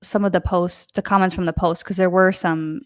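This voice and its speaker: A woman's voice: lowish voice, fairly even intonation, moderate pace, dry recording, clean studio sound. A woman hosting a casual live online show, chatty and rambling with many fillers. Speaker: host. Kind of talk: casual livestream chat.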